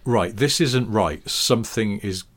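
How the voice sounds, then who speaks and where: Deep-voiced man in his forties. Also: unhurried. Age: 40s. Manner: rambling storyteller, frequent ums and restarts. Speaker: podcast co-host. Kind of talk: casual chat.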